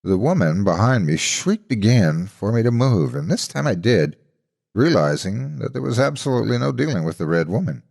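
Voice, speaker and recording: A man's voice: fairly low pitch, big pitch swings, normal speed, vocal fry, a little mellow, slightly rough. A man working as a horror story narrator, reading a scripted tale in a dramatic style.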